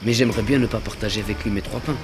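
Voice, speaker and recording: A man voicing a greedy, sly, grumbling traveller in an animated cartoon, whining and exclaiming as he goes. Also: on the low side, very fast, close to an everyday voice. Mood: grumbling, weary. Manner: exclamatory, grumbling, panting and moaning. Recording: music under the voice. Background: music.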